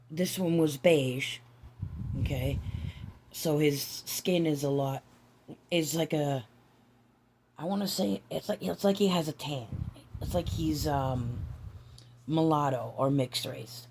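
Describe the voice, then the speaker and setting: A woman chatting softly in a home vlog, her voice raspy and hoarse from a raw throat, halting with many ums and restarts. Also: deep voice, slight background noise. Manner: soft, hushed, near-whispering. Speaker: doll-collecting vlogger. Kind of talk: casual vlog chat.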